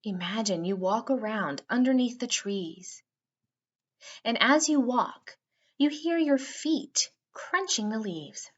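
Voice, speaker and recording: Slow-paced woman guiding a kids' meditation. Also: a little high, expressive, muffled recording. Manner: soothing, unhurried, with drawn-out phrasing. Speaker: guided meditation host for children. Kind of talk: slow guided meditation narration for kids.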